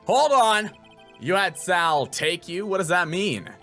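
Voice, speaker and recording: A man performs an earnest young defense attorney in a video game, exasperated and challenging. The voice rides high and swings widely, with music behind it. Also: expressive, slow, a bit bright, exaggerated voice. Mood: accusing, emphatic.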